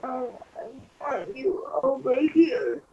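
A woman's voice: high voice, lively intonation, slow, a little mellow, breathy edge, dull audio, full character voice. A woman playing a whiny, clingy young girlfriend who is hurting, in an amateur ASMR couple roleplay, on played-back video audio. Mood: whiny, pained.

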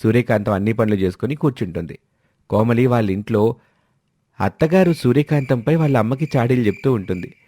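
A man's voice: medium pitch, a little fast, dark tone, no room sound. A man narrating a scripted family moral story.

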